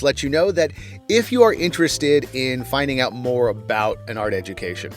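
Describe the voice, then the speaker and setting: A middle-aged man hosting a podcast, talking casually and acting out imagined reactions as he tells stories. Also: medium pitch. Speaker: podcast host. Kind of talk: casual interview chat.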